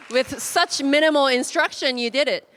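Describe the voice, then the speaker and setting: A woman's voice: sits high, slow, fairly bright tone, a little hiss or hum. A woman casually leading a group icebreaker in a large hall, chatty with many fillers. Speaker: workshop facilitator. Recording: hall reverb from a room mic.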